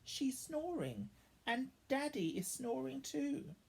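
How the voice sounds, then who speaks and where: A woman's voice: high-pitched, sing-song, slow pace, creaky, breathy edge, pitched above the performer's own voice, exaggerated voice. A woman voicing eager, cheeky young elephant children in a storybook, in a pleading, childlike manner. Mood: wondering.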